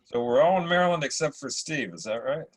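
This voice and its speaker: A middle-aged or older American man giving a casual, halting, rambling introduction of himself as an actor. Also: medium pitch, expressive, husky.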